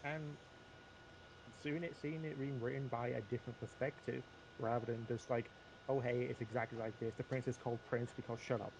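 A man's voice: mid-range voice, fairly even intonation, a little fast, creaky. A man giving casual opinions as a panelist in an online group discussion, with a noisy connection. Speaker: talk show panelist.

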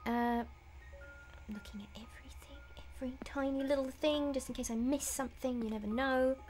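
A woman's voice: high voice, fairly even intonation, a little fast, a little mellow, faint music behind. A middle-aged woman giving casual, nervous, rambling commentary on a horror game, sometimes dropping to a whisper. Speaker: game streamer and voice actress. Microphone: desk mic set slightly away from face.

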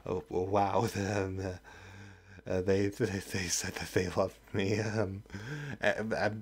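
A man acts out a young, goofy college guy with golden-retriever sweetness in a romantic audio roleplay. His voice sits low and crackles with fry as he rambles expressively and obliviously. There is some noise behind the recording. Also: slow pace. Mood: shy, flustered. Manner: chatty, expressive, boyish, rambling.

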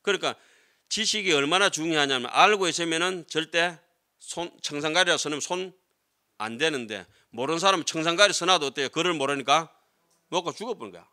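A man's voice: fairly high pitch, brisk, sharp tone. A middle-aged man, a Buddhist monk giving a scripture lecture in a conversational way, often checking with listeners through short tag questions. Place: lecture room with seated audience, indoors. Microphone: desk gooseneck microphones.

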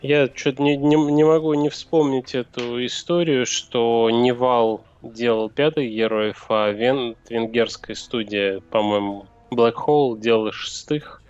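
A man co-hosting a casual gaming chat who tells stories in a chatty way, with halting restarts. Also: mid-range voice, fairly even intonation, a little mellow, clear, resonant tone, dull audio, soft background music. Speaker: co-host of a gaming news show.

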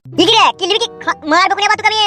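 A man's voice: very high voice, fairly even intonation, very fast, loud music behind the voice. A man voicing a grumpy, blunt village husband who bickers with his wife in a cartoon comedy. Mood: angry, bickering.

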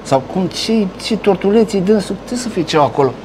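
A man's voice: high voice, rough recording. A middle-aged man reporting from inside a delicatessen, joking and teasing as he questions the staff. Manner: jokey, teasing, animated. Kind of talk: lively walk-through interview in a shop. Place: small delicatessen shop indoors.

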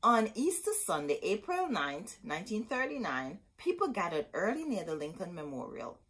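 A middle-aged woman hosting a storytime, reading a picture book aloud expressively with dramatic, deliberate phrasing and asides to her listeners. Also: lowish voice, a bit bright, husky. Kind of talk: expressive children's read-aloud. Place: small indoor room with bookshelves.

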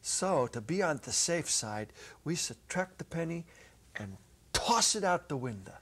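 A middle-aged man narrating a story dramatically from a script, with deliberate phrasing and pauses. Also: on the high side, sing-song, fairly bright tone, slightly rough. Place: small indoor stage set. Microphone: clip-on lapel mic.

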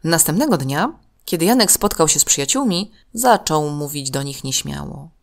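A woman narrating a children's story from a script in an expressive, clearly enunciated way. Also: deep voice, normal speed.

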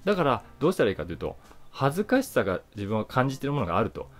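A man's voice: medium pitch, big pitch swings, racing, faint music behind. A man in his forties thinking aloud in a casual, reflective monologue to camera, stressing key words and trailing off into asides. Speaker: actor and voice actor hosting his own channel. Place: small indoor room, seated before camera.

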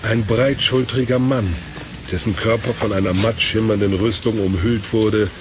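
A man's voice: on the low side, steady tone, moderate pace, background noise. A man narrating an audio drama as a scripted storyteller.

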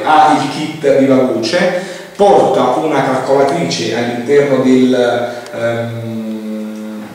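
Male shop demonstrator, unscripted and hesitant, recorded away from the mic in a reverberant room. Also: medium pitch. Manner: hesitant, repetitive explaining with frequent verbal tics. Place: indoor room, demonstrating at a tabletop. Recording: echoey room, distant mic, faint noise.

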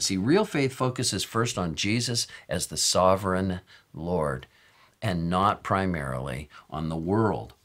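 Male pastor, 60s, American, low and a touch raspy, very animated pitch, earnest and emphatic teaching delivery. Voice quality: a little raspy. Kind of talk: informal sermon teaching. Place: office or study with bookshelves, indoors.

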